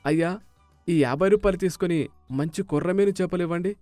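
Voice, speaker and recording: A man's voice: high voice, brisk, dark tone, dry recording, faint music behind, close to an everyday voice. A man acting a mild, practical village husband in a dramatised moral story. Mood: brusque.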